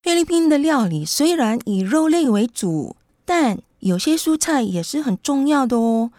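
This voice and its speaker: Kindly higher-voiced mother. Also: very animated, moderate pace, a little mellow, dry recording, clean studio sound. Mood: kindly, explaining. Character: kindly Filipina mother, patient, explaining home cooking. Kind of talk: children's educational radio drama.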